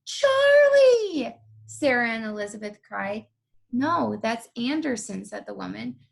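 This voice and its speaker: A woman's voice: on the high side, very animated, slow, gravelly. A woman reading a children's picture book aloud as a co-reader.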